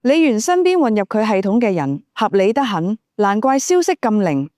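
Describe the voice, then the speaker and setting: A woman's voice: medium pitch, big pitch swings, moderate pace, warm tone, dry recording, clean studio sound, close to an everyday voice. A woman voicing an upright, wary male hero in an ancient-China audiobook, formal and earnest. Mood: realizing.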